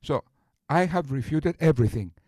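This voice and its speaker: A man's voice: a little high, animated, moderate pace, a little mellow, no room sound. Boastful rambling man on a stream. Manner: boastful, rambling with many restarts. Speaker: streamer host. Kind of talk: casual live-stream talk.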